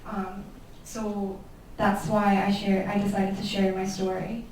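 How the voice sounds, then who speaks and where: A woman's voice: medium pitch, level tone, unhurried, a little mellow, a little hiss or hum. A woman interpreting questions and answers at a university Q&A. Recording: echoey hall from far off.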